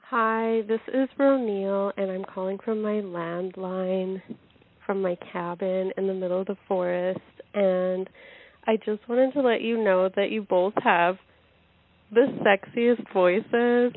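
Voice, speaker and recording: A woman's voice: medium pitch, phone-quality sound. A woman leaving a gushing, flirty voicemail for a podcast from her landline.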